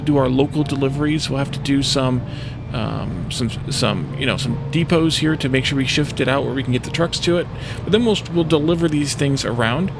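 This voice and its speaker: A man's voice: mid-range voice, creaky. A man giving casual gameplay commentary, thinking aloud in a musing, tentative way as he hosts a let's play. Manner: musing, tentative, talking to himself. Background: music behind the voice.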